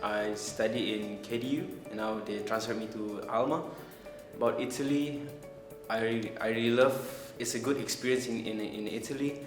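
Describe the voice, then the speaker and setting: A man from Brunei rambles a little and repeats words, with a mid-pitched voice. The recording is echoey, with clear music behind him. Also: fairly even intonation, normal speed. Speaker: culinary student. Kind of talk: interview testimonial. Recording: echoey room with background music.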